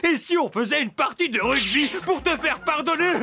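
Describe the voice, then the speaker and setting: A big clumsy cartoon bully of an animal, with a gravelly, creaky voice. It exclaims, whines and pleads like an overgrown child, with light music behind. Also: high-pitched, expressive, moderate pace, bright, forceful tone, full character voice. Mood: boisterous, missing friend. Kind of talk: French children's cartoon dubbing.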